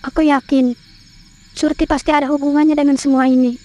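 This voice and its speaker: A woman's voice: high voice, little pitch movement, a little fast, dark tone, close to an everyday voice. A woman playing a stubborn, suspicious young bride-to-be in a horror cartoon, with an Indonesian accent. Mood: determined.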